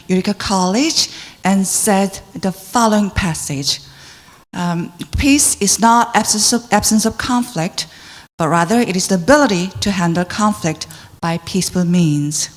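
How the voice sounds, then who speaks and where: A woman working as a consecutive interpreter, rendering a speech into English with careful, slightly halting phrasing. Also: mid-range voice. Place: large conference hall. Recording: conference hall reverb.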